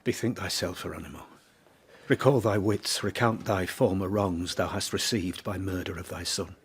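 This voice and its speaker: An actor playing a grieving, vengeful middle-aged court official in a classical verse radio drama, intense and commanding, sometimes dropping to a hush. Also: male voice, sits low, fairly even intonation, normal speed, husky, exaggerated voice. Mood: brooding, vengeful. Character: grieving, vengeful Spanish father and court knight-marshal. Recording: music under the voice.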